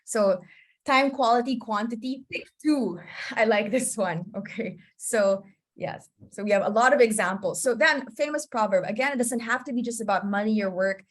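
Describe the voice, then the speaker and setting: A woman's voice: on the high side, normal speed. A woman presenting an online teaching webinar over a video call, chatty and often checking in with her listeners. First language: English. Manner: chatty, often checks in with "you know?" and "okay?".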